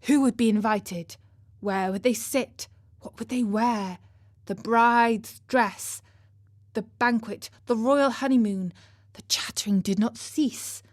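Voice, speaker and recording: A woman reading a scripted story as narrator, in a measured and expressive manner. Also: a little high, no room sound, clean studio sound.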